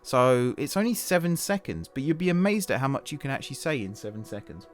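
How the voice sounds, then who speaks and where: A man, slightly hoarse, narrating his own gameplay in a rambling, self-correcting, casual voice-over. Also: mid-range voice, big pitch swings, fast, soft background music. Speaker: gaming channel host. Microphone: desktop voice-over mic.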